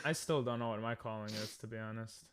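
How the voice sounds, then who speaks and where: A man's voice: fairly low pitch, steady tone, quick pace, crowd noise. A man hosting a livestream, chatting casually with a group of friends.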